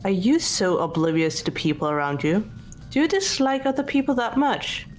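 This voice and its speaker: A woman's voice: medium pitch, animated, moderate pace, faint music behind, natural voice. A woman voicing a composed, blunt teenage girl detective in an amateur visual novel dub, speaking in a controlled, matter-of-fact way. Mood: pointed.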